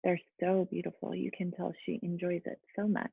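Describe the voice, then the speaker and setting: A woman's voice: lowish voice, completely flat delivery, fairly quick, creaky, breathy edge, dry recording. A woman teacher speaking encouragingly to young children, as in a lesson and storybook read-aloud, with muffled sound. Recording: muffled, phone-like narrow-band sound.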